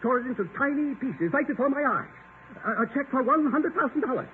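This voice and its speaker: A man's voice: very high-pitched, expressive, fast. An actor playing an indignant, pompous college board chairman in a radio comedy-drama, stiff and scolding in manner. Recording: tinny 1950 radio recording, faint noise.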